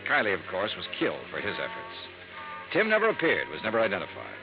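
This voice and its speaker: An American man playing a brisk, wry insurance investigator in a radio noir drama, with clipped, punchy delivery. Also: low voice, huge pitch swings, heavily acted. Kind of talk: 1950s radio noir acting with first-person narration. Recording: muffled old radio recording with music.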